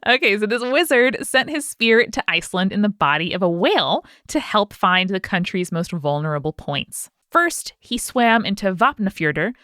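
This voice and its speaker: A woman co-hosting a podcast, reading out a listener's story in an animated way with chatty asides. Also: medium pitch, moderate pace, hardly any pauses, no room sound, studio quality. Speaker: podcast co-host.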